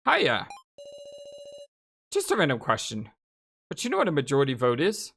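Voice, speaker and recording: A game streamer voicing a breezy, teasing kid, lively pitch. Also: normal speed, vocal fry, a little hiss or hum, heavily acted. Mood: breezy, curious.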